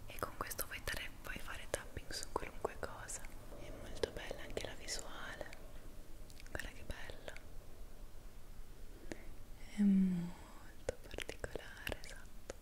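A woman whispers gently and intimately as an ASMR host, close to the microphone. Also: medium pitch, level tone, slow pace, long pauses. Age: 20s. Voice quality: breathy, unvoiced whisper. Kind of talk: whispered ASMR personal attention, showing objects. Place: small room at home, indoors. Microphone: close microphone on desk.

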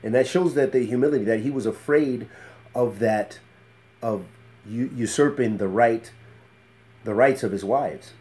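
A middle-aged man giving an informal Islamic lecture in a measured, halting, reflective way. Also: medium pitch, darkish tone. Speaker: Islamic teacher. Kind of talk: informal religious lecture with Arabic phrases and quotations. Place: small book-lined study indoors.

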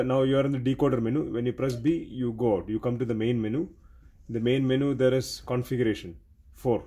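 Male technical trainer, mid-pitched husky voice, practical step-by-step instruction with repetition. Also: mellow. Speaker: intercom technician giving training. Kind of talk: hands-on instructional explanation.

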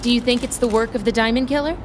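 Woman playing a driven, high-voiced TV crime reporter. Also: steady tone, fast, noisy background, close to an everyday voice. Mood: composed, on-air. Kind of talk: naturalistic audio drama acting.

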